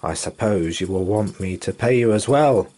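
A man's voice: on the low side, moderate pace, mellow, natural voice. A man voicing a mean old male miser in a storybook, grumbling and emotional.

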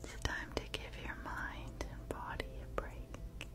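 A woman in her twenties whispering soothing ASMR close to the microphone in a gentle, reassuring way. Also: slow. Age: 20s. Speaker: ASMR creator.

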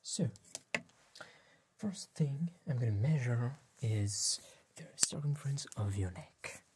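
A man, playing a polite, attentive tailor in a gentle, whispered and soft-spoken ASMR roleplay, with hesitant pauses. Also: mid-range voice, animated, background noise. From France. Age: 20s. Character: polite, attentive bespoke tailor serving a client. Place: small dim tailor's workshop set, indoors.

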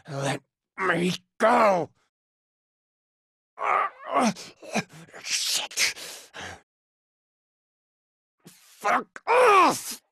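A cold, ruthless gangster for a video game. The voice is raspy and forceful, leaping widely in pitch. Each curt line lands as a taunt or a threat. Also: male voice, dry recording, studio quality, full character voice. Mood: aggressive, straining. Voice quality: raspy, gravelly. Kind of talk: video game combat barks.

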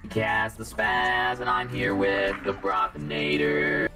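A man's voice: fairly low pitch, steady tone, slow pace, music in the background. A man co-hosting a gaming video with casual chat.

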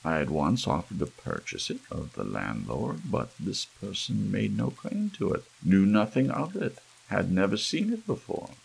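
Deep, gravelly man narrating a gothic tale. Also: wildly swooping pitch, normal speed, hardly any pauses, poor audio. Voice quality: gravelly, husky. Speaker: audiobook narrator. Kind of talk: scripted gothic story narration, ASMR. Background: soft rain.

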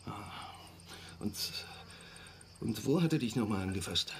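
Stern, halting father. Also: fairly low pitch, lively intonation, a little hiss or hum. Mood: agitated, stern. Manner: halting, probing. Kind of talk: German audio drama acting.

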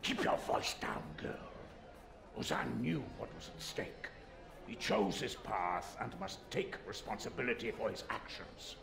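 A stern middle-aged father and spymaster from a fantasy game. His measured, dismissive delivery swings widely in pitch and has a creaky grain. The sound is roomy, with faint noise behind it. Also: sing-song, over music, exaggerated voice. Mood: stern, defensive. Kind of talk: video game voice acting.

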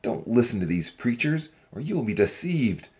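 A man's voice: mid-range voice, big pitch swings, moderate pace, a little mellow, close to an everyday voice. A man voicing a fervent, suspicious young religious heckler in a dramatized audiobook, accusing and declamatory. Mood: accusing, alarmed.

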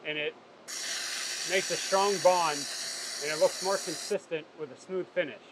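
A man's voice: on the high side, fairly even intonation, normal speed. A man in his thirties explaining technical work casually and plainly, as a welding technician would. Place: industrial workshop, seated at machine. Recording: background noise in workshop.

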